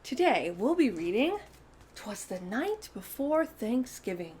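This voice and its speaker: A woman's voice: fairly high pitch, big pitch swings, unhurried, a little mellow, slight background noise. A woman hosting a storytime for young children, reading aloud expressively and speaking directly to her listeners. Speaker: children's storytime host and reader.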